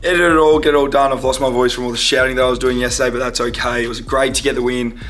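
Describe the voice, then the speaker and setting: A young Australian man with a hoarse, strained voice, chatting casually and upbeat in a game day vlog. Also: medium pitch, fairly even intonation, rapid-fire, fairly bright tone, soft background music. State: hoarse, voice partly lost. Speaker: vlogger and footballer.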